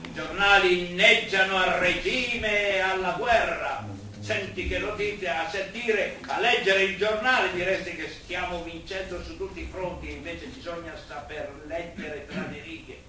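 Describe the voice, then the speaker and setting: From far off in a very echoey theatre comes a sardonic, educated wartime doctor on stage. His high voice is emphatic and theatrical, with dry irony about the regime. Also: moderate pace, bright, forceful tone, slight background noise. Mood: wry. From northern Italy. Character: wry educated antifascist doctor in 1940s Rome. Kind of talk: stage comedy-drama acting.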